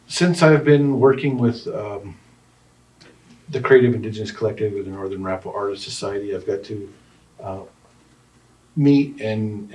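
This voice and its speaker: Low-voiced man answering from afar. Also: darkish tone. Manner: thoughtful, restarts and hedges while explaining. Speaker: artist and exhibition organizer. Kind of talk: panel interview answers. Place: art center gallery room. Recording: distant mic in a room.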